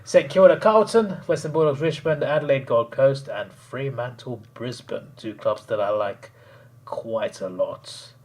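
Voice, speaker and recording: A man chatting casually on a live stream, hoarse, rambling and laughing often. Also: mid-range voice, a little slow, darkish tone.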